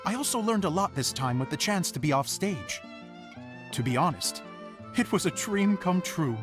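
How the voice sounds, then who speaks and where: A man playing an earnest, good-natured young theater director in a video game, with an open, expressive manner. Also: sits high, normal speed. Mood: earnest, reflective. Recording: music behind the voice.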